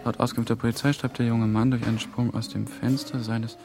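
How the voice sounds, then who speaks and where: A man playing a young, careful and sceptical European institution trainee in a radio drama, speaking in a measured way. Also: mid-range voice, level tone, gravelly, close to an everyday voice. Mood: subdued reading. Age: young adult. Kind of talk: German radio drama dialogue. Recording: music behind the dialogue.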